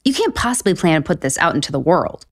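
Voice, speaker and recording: Sardonic, swooping low-voiced woman. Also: sing-song, fast. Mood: exasperated. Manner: sarcastic, exasperated. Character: overwhelmed, sardonic, foul-mouthed heartbroken woman author. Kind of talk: audio romantic comedy acting.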